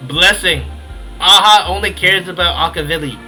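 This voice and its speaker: A man's voice: sits high, moderate pace, pushed and bright, rough recording. A young man doing casual, reactive gaming commentary and reading aloud informally, with faint game music behind him. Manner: informal, reactive, rattles through text. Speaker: gaming video creator.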